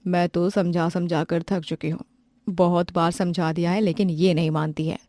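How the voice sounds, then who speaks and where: A woman voicing a blunt older mother-in-law who lectures her daughter-in-law, a bit lower than her own voice. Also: flat delivery, normal speed, warm tone, no room sound, studio quality, lightly acted. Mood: weary.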